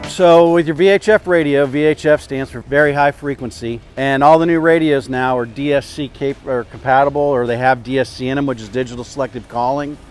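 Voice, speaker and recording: A man's voice: mid-range voice, fairly even intonation, a little fast, few pauses, smooth. Older man explaining casually over background music. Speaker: boat captain giving boating tips. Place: outdoors at a marina by a parking lot. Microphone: clip-on lapel mic.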